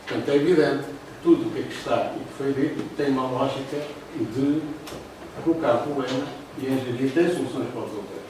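A man's voice: mid-range voice, a little fast. Older man, European Portuguese accent, slide lecture, very echoey classroom, distant mic, audible room noise. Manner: talks through slides, hesitant fillers. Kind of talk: formal lecture presentation. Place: classroom with projector. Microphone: far room camera. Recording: roomy echo from far off.

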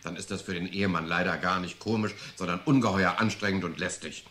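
An actor playing a weary, dismissive middle-aged husband in a German radio play, curt and dryly scornful. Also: male voice, deep voice, steady tone, quick pace, background noise, natural voice. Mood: scornful, irritated.

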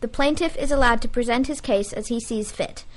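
A woman playing God as a calm, authoritative presiding judge in an audio drama, speaking in formal, measured phrases. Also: fairly high pitch, natural voice. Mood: formal, firm. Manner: formal, measured courtroom speech.

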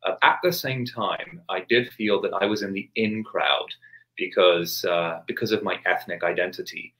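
Measured low-voiced priest on a call. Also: male voice, sharp tone, low-quality recording. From England. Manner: measured, many restarts and hesitations. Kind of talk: thoughtful interview answer. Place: home study with bookshelves, video call.

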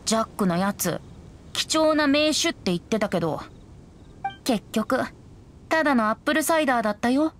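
A woman voicing a youthful, boyish wind spirit bard in an anime game, gentle and wistful, with lyrical storytelling phrasing. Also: on the high side, lively intonation, moderate pace, long pauses, close to an everyday voice. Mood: light, playful. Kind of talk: anime video game voice acting, poetic narration.

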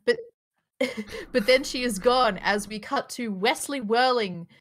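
A woman's voice: a little high, unhurried, a bit bright. A woman reading stage directions as narrator of a table read on a group video call, in a dramatic storytelling manner. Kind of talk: scripted narration read aloud.

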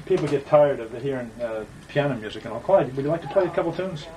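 A man's voice: mid-range voice, animated, quick pace, a crowd in the background, rough recording. A man behind a home camcorder chatting with family and coaxing a guest to play, teasing and encouraging. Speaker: home-video camera operator and host. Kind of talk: casual family home video. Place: living room of a house. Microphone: camcorder mic, behind the camera. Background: piano playing loudly.

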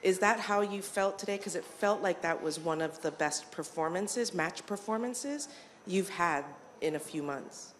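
A woman reporter with a notably low voice puts a long, conversational question to a player. A faint hiss sits under her voice in the press room. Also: measured pace. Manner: probing, conversational, a few restarts.

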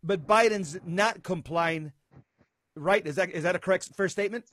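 An older man co-hosting talk radio, putting questions in a halting way and repeating his words. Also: high voice, fairly bright tone, dry recording. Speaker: radio co-host.

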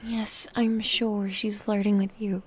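Woman acting possessive tsundere elf wife, ASMR roleplay, mellow, unhurried, soft and sulky. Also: medium pitch, little pitch movement. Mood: jealous, annoyed. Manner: soft, breathy, halting, sulky delivery. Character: jealous, possessive, needy tsundere elf wife, female warrior.

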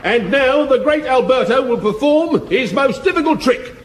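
An actor playing a pompous variety-show compere in a vintage radio comedy. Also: male voice, very high-pitched, animated, normal speed, background noise. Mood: grand. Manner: grand, pompous announcing.

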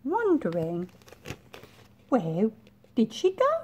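A young woman reading a picture book to small children, expressive and deliberate, with pauses. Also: fairly high pitch, slight background noise. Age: young adult. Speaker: reception class teacher. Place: small bright school classroom, indoors.

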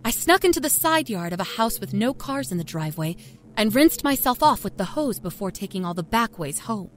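A woman's voice: medium pitch, sing-song, fairly quick, lightly acted. A woman playing a cold, matter-of-fact young small-town murderess, telling her horror story in a conversational, wry way. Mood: calculating, wry. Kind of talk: first-person dramatic horror story narration. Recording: music under the voice.

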